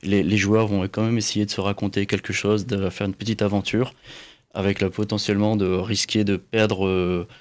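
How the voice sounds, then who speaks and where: A man with a slightly low voice speaks as a panelist on a board game show. He explains things in a casual, conversational way, often pausing to search for the right words. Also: level tone.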